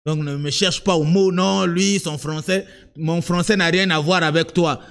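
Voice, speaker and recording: Guy preaching in french thats not his first language, kinda high voice, stumbles and repeats, then sings out the big lines. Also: steady tone, normal speed. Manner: emphatic, swings from low asides to high sung-out cries; repeats words and restarts, talks to the listener directly. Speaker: apostle preaching. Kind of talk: sermon.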